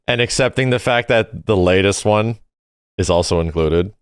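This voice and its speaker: A man's voice: lowish voice, normal speed, clear, resonant tone, dry recording, studio quality. A man co-hosting a podcast, chatting casually as he reminisces and comments. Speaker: podcast co-host.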